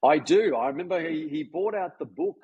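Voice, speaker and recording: A middle-aged Australian man, a golf coach speaking as a guest in a relaxed, rambling interview with many fillers. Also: on the high side, fairly quick, slightly rough, no echo, muffled recording. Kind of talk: casual interview chat. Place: home, residence in Jakarta.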